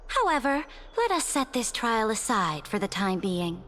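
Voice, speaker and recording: A woman playing a cold, commanding ruler in a game, speaking with formal, haughty, measured oratory. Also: fairly high pitch, sing-song, fairly bright tone, a little hiss or hum. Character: imperious, cold, commanding female ruler addressing her council. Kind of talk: dramatic game voice acting.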